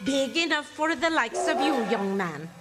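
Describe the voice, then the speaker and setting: Woman acting a high-voiced, stern but kindly nun. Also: big pitch swings, a little slow, loud music behind the voice. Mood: firm, admonishing. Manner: admonishing, old-fashioned motherly phrasing. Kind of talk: radio play acting.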